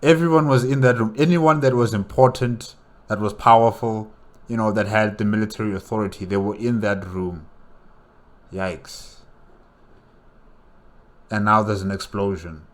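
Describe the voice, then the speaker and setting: A man's voice: fairly low pitch, steady tone, normal speed, pauses often. A man giving casual reaction commentary, thinking aloud with many questions and repeated words. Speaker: reaction video host.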